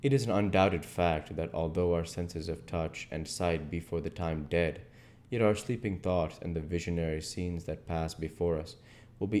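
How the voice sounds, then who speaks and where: Deep voiced guy narrating an old novel, dark mellow tone, measured and dramatic. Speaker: reader and host of an audiobook channel. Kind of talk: expressive literary narration.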